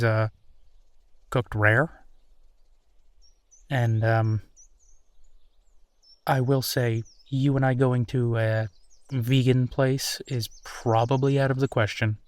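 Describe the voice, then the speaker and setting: A man playing an easygoing, affectionate werewolf boyfriend in an intimate audio roleplay, speaking earnestly and haltingly. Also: mid-range voice, no room sound, close to an everyday voice. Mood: relaxed, wry. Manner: hesitant, chuckling, earnest, halting phrasing. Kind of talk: intimate boyfriend roleplay, naturalistic one-sided conversation.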